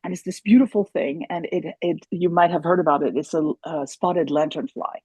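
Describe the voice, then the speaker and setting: A woman's voice: fairly low pitch, a little fast, warm tone, slightly rough, dry recording. A woman in her sixties, a native German speaker raised in France, telling stories animatedly as a podcast guest, with many restarts. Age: 60s. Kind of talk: casual conversation.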